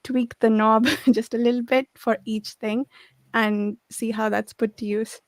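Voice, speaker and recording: Higher-pitched woman with even intonation, thinking aloud. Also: unhurried, dry recording. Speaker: book discussion participant. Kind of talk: casual book discussion.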